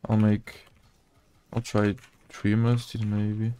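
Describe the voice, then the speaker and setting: A man with a Portuguese accent, a native Portuguese speaker, giving casual gameplay commentary in low-key asides to himself. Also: completely flat delivery, takes it slowly, mellow, clean tone. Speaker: gaming video creator.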